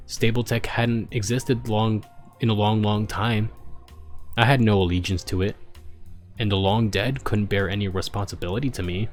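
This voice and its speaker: A man's voice: on the low side, darkish tone. A man narrating a story as he reads fan fiction aloud, sometimes stumbling and rereading a line.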